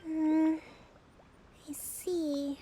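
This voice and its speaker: A woman voicing a gentle, composed young shrine leader in an anime game, speaking politely and softly. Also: sits high, little pitch movement, extremely slow, a little hiss or hum. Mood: reluctant, weary.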